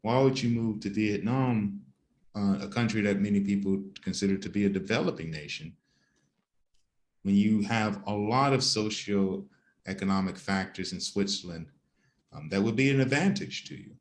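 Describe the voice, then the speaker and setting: A man hosting an interview as a podcast host, with a measured, deliberate way of putting questions. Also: fairly low pitch. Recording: roomy echo.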